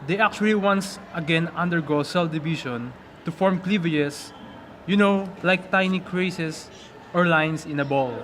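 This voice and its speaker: Casting: a man playing an eager, talkative puppet show male, high voice, slight background noise. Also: measured pace, fairly bright tone. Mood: explaining. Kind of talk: amateur puppet show dialogue.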